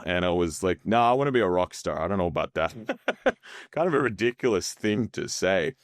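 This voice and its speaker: Deep-voiced Australian man, relaxed storytelling. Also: no echo, clean studio sound. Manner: relaxed storyteller, laughs easily, many fillers. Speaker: podcast guest, founder. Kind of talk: casual interview chat.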